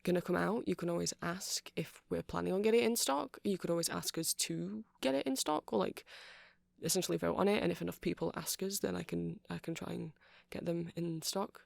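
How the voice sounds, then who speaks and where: Nerdy vampire shop clerk ASMR performer, gravelly fry, dry intimate sound, eager but unsure, rambles and apologises. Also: medium pitch, fairly quick, dry recording. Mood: helpful, rambling. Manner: hesitant, rambling, self-deprecating, nervous little laughs. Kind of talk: ASMR roleplay.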